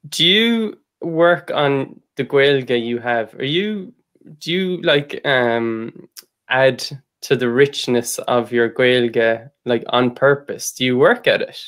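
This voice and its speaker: An Irish man with a mid-range voice hosts a podcast chat. He talks in a relaxed, loose way and leans on "like" as a filler. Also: medium pitch, slow. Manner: relaxed, rambling, with many "like" fillers. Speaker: podcast host. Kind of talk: casual conversation.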